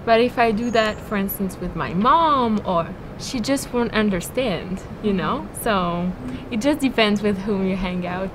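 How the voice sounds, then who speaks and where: A woman whose first language is French, giving a thoughtful interview answer and correcting herself as she goes. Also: measured pace. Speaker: language student. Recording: noisy recording with traffic rumble.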